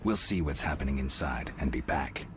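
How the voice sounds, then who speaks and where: An actor playing a stoic, gruff swordsman in a fantasy video game, speaking tersely in a low voice. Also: over music. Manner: terse, flat, unhurried. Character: stoic gruff adult male monster-hunting swordsman.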